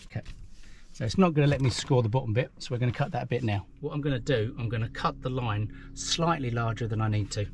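A man's voice: medium pitch, fast talker, a little hiss or hum. A man, talking casually through a how-to job inside a parked car, chatty and self-deprecating as he thinks aloud. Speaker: vlogger. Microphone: action camera mounted in the car.

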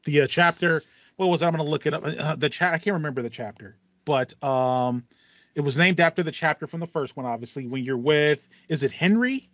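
A man's voice: fairly high pitch, fairly quick, no echo, muffled recording. A man in his thirties with an American accent, co-hosting a casual podcast chat with slangy, storytelling asides. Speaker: podcast co-host. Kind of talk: casual chat about video games. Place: small home room with foam wall panels. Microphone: headset, video call.

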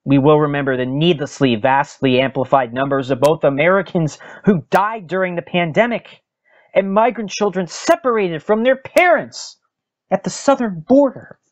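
A man hosting a podcast, giving a dramatic, solemn reading of an opinion article with emphatic, deliberate pauses. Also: a little high, dull audio. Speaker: podcast host.

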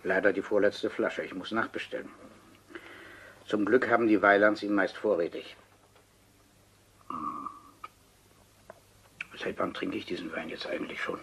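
An actor playing a thoughtful, earnest older gentleman, speaking deliberately in a German radio play. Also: sits low, fairly even intonation, a little hiss or hum. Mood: reflective. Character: thoughtful older wine connoisseur, earnest chess partner.

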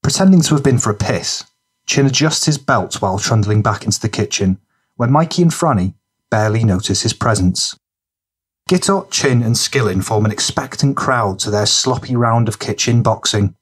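A man's voice: medium pitch, expressive, moderate pace, slightly rough. A man reading scripted narration for a gritty crime fiction audiobook.

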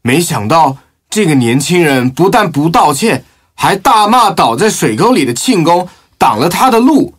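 A man plays a village storyteller in an audio drama. His voice is husky and fairly high, swinging with lively indignation. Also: normal speed, hardly any pauses. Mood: indignant, vivid. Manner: animated storytelling.

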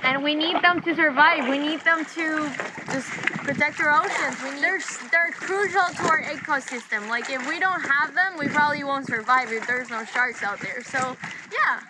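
A young woman vlogging casually from a sailboat deck, chatty and excitable with lots of "like," into a handheld action camera. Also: high voice, normal speed. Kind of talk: casual vlog narration. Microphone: action camera held close. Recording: loud wind and water noise.

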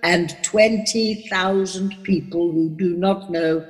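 A woman's voice: fairly low pitch, fairly even intonation, slow. An older woman voicing a grave, reproving God in a solemn, stately scripture drama. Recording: long cavernous reverb.

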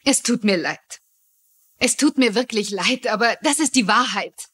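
An actress playing a frustrated, resentful wife in a dubbed TV crime drama, blunt and reproachful. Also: female voice, fairly high pitch, expressive, a little slow, a bit bright, husky, heavily acted. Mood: blunt, sorrowful. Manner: reproachful, intense. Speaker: voice actor in a German crime series dub.

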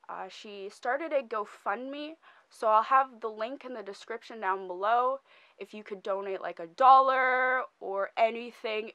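A young adult woman chatting casually to camera from her bedroom, with soft laughs and fillers. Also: mid-range voice, clean tone. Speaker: vlogger. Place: small bedroom, indoors.

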